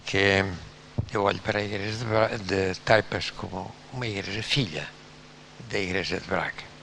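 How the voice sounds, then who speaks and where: A man's voice: sits low, steady tone, moderate pace, creaky, bright, forceful tone, a little hiss or hum. A man gives an exhorting church address in a visiting pastor's role.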